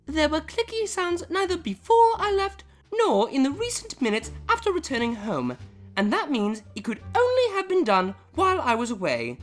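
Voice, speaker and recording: A prim, cultured female pony, played by a woman, speaks high and with sweeping intonation. She is formal and exact, with dry menace under the politeness, as in a comic audio drama over faint music. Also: huge pitch swings, moderate pace. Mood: icy composure. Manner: formal, precise, drily menacing. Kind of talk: scripted comedy fan audio drama.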